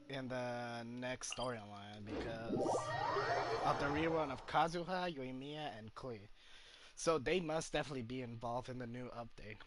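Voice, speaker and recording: A man's voice: medium pitch, noisy background. A man casually musing and rambling as he comments over his gameplay. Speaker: gamer.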